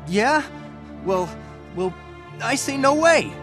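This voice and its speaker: An actor playing a brash young street thug in a video game, defiant but soft-hearted. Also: male voice, very high voice, big pitch swings, takes it slowly, faint music behind, exaggerated voice.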